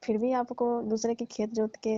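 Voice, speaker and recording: A woman talks in a fairly high, even voice with little melodic movement. She chats and explains casually, as in a comic phone call. The sound is a slightly dull, lo-fi call recording. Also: normal speed, no echo. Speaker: caller playing a farm owner.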